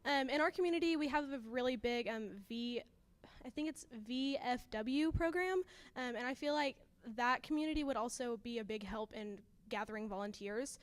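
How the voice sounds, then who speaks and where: A woman's voice: fairly high pitch, moderate pace, smooth. A teenage girl presenting a student project and answering judges' questions, earnest and sprinkled with ums. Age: teens.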